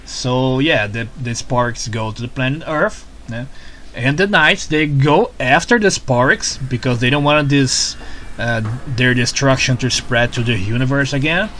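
Excitable man with a Brazilian accent. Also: mid-range voice, a little slow, a little hiss or hum. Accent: Brazilian Portuguese. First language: Portuguese. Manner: excitable, repeats words, adds "né?" tags. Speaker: show reviewer. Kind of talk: casual review commentary.

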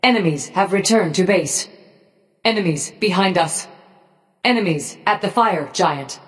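A woman voicing a cold, menacing robotic gorgon in a video game, giving short commands in a measured, detached, machine-like way. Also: lowish voice, animated, long reverb tail. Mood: cold, commanding. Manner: slow, measured, emotionally detached machine-like delivery. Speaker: game character voice pack.

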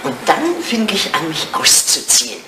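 A woman's voice: deep voice, normal speed. An actress playing an elegant, teasing older English lady in a retro radio comedy, telling things frankly and theatrically. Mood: mischievous storytelling. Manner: animated, theatrical, teasing storytelling. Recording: roomy, noisy vintage radio play recording.

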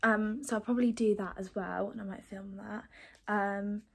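A woman in her twenties chatting casually through her evening routine at home, rambling with lots of ums and quick asides. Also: mid-range voice, steady tone, creaky, breathy edge. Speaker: vlogger. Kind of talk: casual chat. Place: small flat, indoors, lounge, kitchen and bathroom. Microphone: phone held at arm's length.

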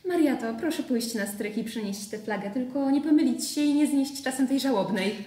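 Lady doing a sarcastic outspoken wife, mellow, roomy and a bit far off. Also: high-pitched, expressive, fairly quick. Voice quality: ironic, mocking. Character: ironic, outspoken wife of an official. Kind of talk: radio drama acting. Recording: distant mic in a roomy space.

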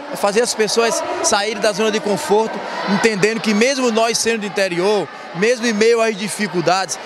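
A man in his thirties from northeastern Brazil, a former athlete turned motivational speaker, answering an interview earnestly into a handheld mic in a school gym full of students. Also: high voice. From Rio Grande do Norte, Brazil. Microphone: handheld reporter mic. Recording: loud crowd noise in a gym.

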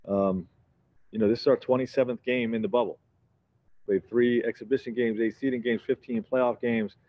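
A man in his forties, a head coach giving measured postgame answers at a press conference, full of "you know" and "um" fillers. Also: mid-range voice, highs cut off. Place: press conference backdrop, indoors.

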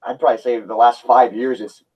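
A man's voice: medium pitch, fairly quick, husky, muffled recording. A man in his twenties talking casually and nostalgically as a guest in a video-call discussion. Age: 20s. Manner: nostalgic, relaxed.

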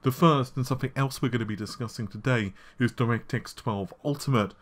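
A man hosting a casual tech news show, his voice slightly scratchy and worn, talking in a chatty way with frequent fillers and quick asides. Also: medium pitch. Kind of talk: casual solo tech commentary. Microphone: laptop recording setup.

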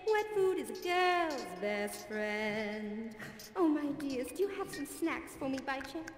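A woman's voice: sits high, animated, takes it slowly. A woman playing a gushing diva cat singer. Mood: sultry singing. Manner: theatrical, gushing, endearments. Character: glamorous diva female cat cabaret singer. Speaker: variety show performer. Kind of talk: cabaret song and stage patter. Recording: echoey hall with music behind.

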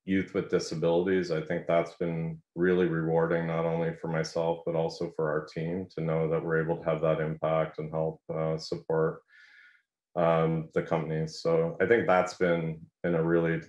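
A middle-aged man talks from his home office over a video call. His voice sits low and barely rises or falls. The sound is roomy and a little muffled. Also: monotone, moderate pace, darkish tone. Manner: earnest, filler-heavy, repeats words. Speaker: panelist. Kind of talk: video-call panel discussion.

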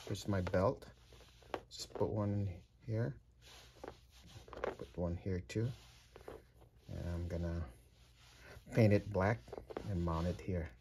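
A middle-aged man with a fairly low voice talks through his homemade build in a hesitant way, full of "uh"s and restarts. Faint background noise sits under his voice. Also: fairly even intonation. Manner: halting, many fillers and restarts. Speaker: DIY builder. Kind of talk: casual build walkthrough. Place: home room with sim cockpit, indoors.

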